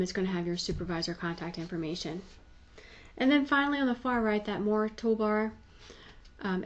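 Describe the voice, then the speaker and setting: A woman's voice: mid-range voice, a little mellow. A woman giving a conversational, explanatory tutorial voice-over in a plain-spoken, instructive manner. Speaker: tutorial presenter.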